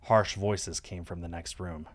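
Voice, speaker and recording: A man's voice: sits low, fairly even intonation, measured pace. A man reading a visual novel aloud on a stream as scripted story narration.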